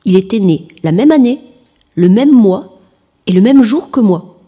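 A woman's voice: medium pitch, lively intonation, measured pace, mellow, husky. A woman in her late twenties giving plain interview testimony in short sentences. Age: late 20s. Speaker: interviewee, industrial technician returning to school.

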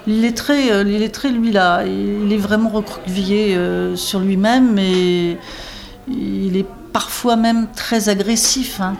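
A woman's voice: mid-range voice, fairly even intonation, takes it slowly, vocal fry, a little mellow. A woman coordinating literacy classes, answering interview questions in a rambling way, full of fillers, restarts and tag words. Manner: rambling, many fillers and restarts, emphatic "hein".

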